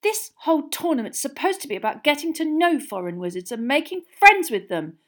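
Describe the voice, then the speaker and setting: A woman voicing an indignant, defensive teenage witch girl with a shrill, emphatic manner for an audiobook. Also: sing-song, brisk, a bit bright. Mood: outraged, shrill.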